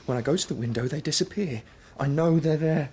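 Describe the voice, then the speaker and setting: A man's voice: on the high side, brisk, darkish tone, slight background noise, lightly acted. A man, playing a troubled, anxious and paranoid young man in a radio drama. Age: 20s. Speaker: young man with schizophrenia, narrator of his story.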